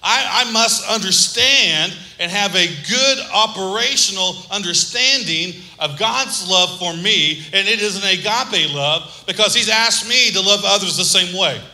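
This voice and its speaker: A pastor delivers a sermon in a voice that rings very bright, slightly high for a man. He calls on listeners to repeat words and drops small jokes. The sound carries a long reverb from a large hall. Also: lively intonation, measured pace, hardly any pauses. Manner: preaching with call-and-response and humor.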